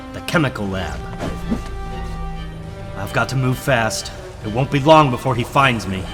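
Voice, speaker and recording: Guy doing a young comic superhero, earnest n urgent, talking himself thru it, loud music under. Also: mid-range voice, natural voice. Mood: determined, tense. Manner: dramatic, thinking aloud, urgent. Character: earnest, determined young male superhero narrating his own fight. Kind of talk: comic book dramatic reading.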